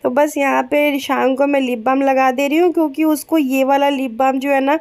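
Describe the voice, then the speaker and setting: A young woman with a high voice talks through her evening in a relaxed, chatty voice-over. She keeps her voice soft and gentle because of a sore throat and fills her talk with little pauses and fillers. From Bihar, raised in Kolkata. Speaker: vlogger. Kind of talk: casual vlog voice-over.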